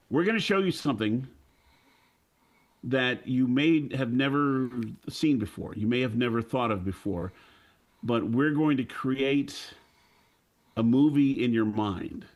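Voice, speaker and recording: A middle-aged man recounting his experience on a panel in a measured, storytelling manner with a theatrical touch. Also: mid-range voice. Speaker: panelist, audio drama producer and stage actor. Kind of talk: anecdotal panel talk.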